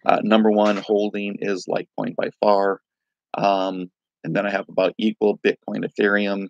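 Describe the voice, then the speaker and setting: Raspy-voiced man chatting on a livestream. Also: on the low side, completely flat delivery, moderate pace, no echo, dull audio. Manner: rambling, loose, greets viewers by name. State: raspy voice from singing. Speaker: crypto livestream host. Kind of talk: casual live-stream chat.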